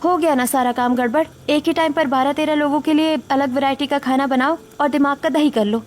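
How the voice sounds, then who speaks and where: A woman's voice: high voice, steady tone, brisk, slight background noise, lightly acted. A woman playing an overworked, exasperated but polite young Indian daughter-in-law in a Hindi story drama. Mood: exasperated, complaining. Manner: complaining, polite to elders. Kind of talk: Hindi audio story dialogue acting.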